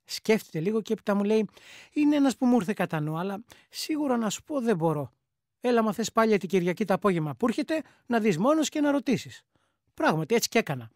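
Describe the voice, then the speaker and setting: A woman's voice: medium pitch, sing-song, a little mellow, dry recording, clean studio sound. A woman playing an emigrant ex-soldier man, telling an anecdote colloquially in speech for a radio play. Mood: reminiscing. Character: plain-spoken emigrant army veteran man recounting his past.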